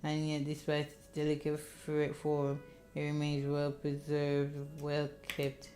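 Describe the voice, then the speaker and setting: Low-voiced woman narrating a horror game. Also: monotone, slow pace, warm tone, slight background noise. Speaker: game streamer reading a visual novel aloud. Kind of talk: dramatic narration with casual asides.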